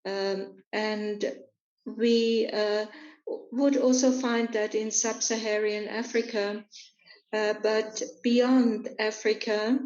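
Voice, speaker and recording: A woman's voice: mid-range voice, level tone, slow, a little mellow. A woman interpreting an interview into English, hesitant and full of filler sounds. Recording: roomy, dull lo-fi recording.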